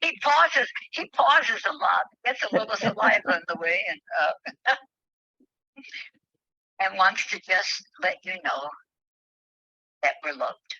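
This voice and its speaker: A woman who is a spiritual leader, speaking haltingly with pauses as she reads and shares devotional thoughts with a group over a phone call. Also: medium pitch, animated, bright, forceful tone, husky, no room sound. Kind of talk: devotional reading and heartfelt group sharing. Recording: dull, dry online call audio.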